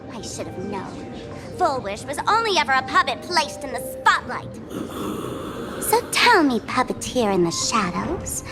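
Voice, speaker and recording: A mischievous masked-fool girl, speaks in a very high voice that swings wildly in pitch. She takes her time, theatrical and mocking, like a game character toying with a rival over music. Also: exaggerated voice. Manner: theatrical, taunting, playful. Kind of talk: anime game voice acting.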